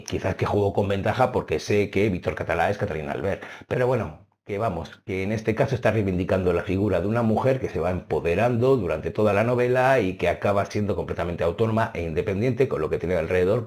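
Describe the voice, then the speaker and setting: A man, a native Spanish speaker, casually reviewing books he has read, animated and stressing key words. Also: deep voice, fast. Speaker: book reviewer.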